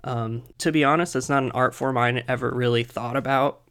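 A man co-hosting a casual podcast, chatty with lots of fillers, soft and a little husky as he comes down with a cold. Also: medium pitch, steady tone, fairly quick. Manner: chatty, laughs often, many fillers. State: coming down with a cold. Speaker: podcast co-host.

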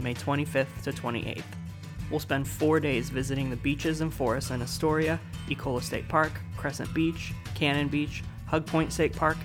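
A man with an American accent hosting a podcast, moving between scripted introductions and casual interview chat. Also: fairly high pitch. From United States. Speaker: podcast host.

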